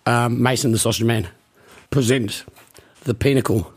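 A man's voice: medium pitch, fairly even intonation, normal speed, husky. A man with a New Zealand accent co-hosting a podcast, teasing and spinning absurd jokes. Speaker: podcast co-host. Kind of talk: casual banter.

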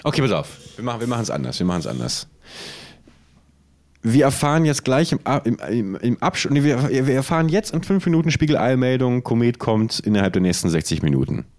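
A man co-hosting a podcast in casual, comedic chat, playfully animated and often stammering and repeating words as he goes. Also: medium pitch, a little fast. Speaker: podcast co-host.

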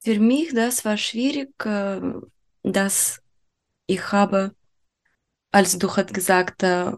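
A young woman with a Ukrainian accent speaks slowly in German, her second language. She hesitates often and fills gaps with soft "äh" sounds. Her pitch sits in a middle range. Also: fairly even intonation. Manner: slow and halting, searching for words with many "äh" pauses. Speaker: guest. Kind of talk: interview in a learned language.

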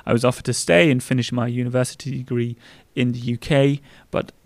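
A man's voice: medium pitch, flat delivery, brisk, gravelly, a little mellow, dry recording. A man in his thirties with a German accent, chatting casually as a podcast co-host with a lot of fillers. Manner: chatty, rambling with frequent fillers.

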